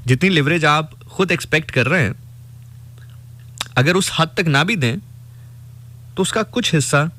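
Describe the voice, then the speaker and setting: A young man with a Pakistani accent, telling a motivational story as a radio host, emphatic and given to measured repeated lists, slipping between two languages. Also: fairly high pitch, sharp tone. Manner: switches between Urdu and English.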